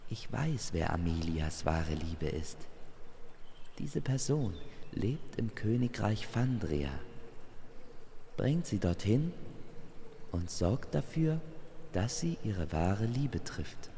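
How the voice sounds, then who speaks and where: Man as a confident love goddess, regal, teasing, lifted pitch, slow measured delivery, fantasy audio drama. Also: gravelly, mellow, big-room sound, a little hiss or hum, heavily acted. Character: playful, self-assured goddess of love, female, commanding. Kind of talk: German fantasy audio story.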